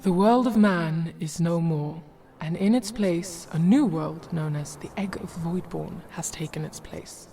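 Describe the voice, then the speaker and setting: A woman reading scripted fantasy narration in a measured storybook manner. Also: lowish voice, creaky. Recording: loud music behind.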